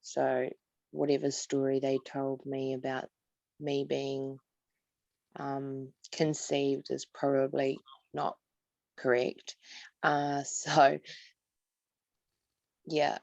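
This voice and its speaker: A woman in her forties from New Zealand with a New Zealand accent, guest on a video call, slowly and hesitantly recalling her past. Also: very deep voice, completely flat delivery, creaky, no room sound. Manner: soft-spoken, hesitant with many pauses. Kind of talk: slow personal recollection. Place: home room, indoors. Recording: dull lo-fi call audio.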